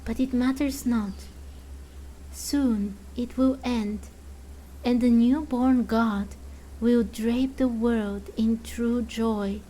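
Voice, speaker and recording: A woman's voice: a little high, fairly even intonation, mellow, slight background noise, poor audio. A woman voicing a serene, fanatical oracle in a fantasy game, making cryptic, portentous pronouncements. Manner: slow, portentous, drawn-out pronouncements.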